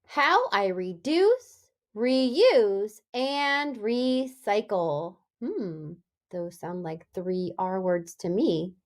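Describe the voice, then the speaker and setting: A woman elementary school teacher giving a read-aloud lesson for young children, animated and deliberate, often putting questions to them. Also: medium pitch.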